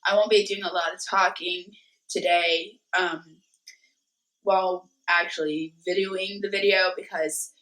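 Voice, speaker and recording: A young woman with a hoarse voice from a cold, casually talking through a craft tutorial from her bedroom. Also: lowish voice, steady tone, fairly bright tone, low-quality recording. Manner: slow, rambling, many fillers. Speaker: cosplay vlogger. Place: bedroom at home.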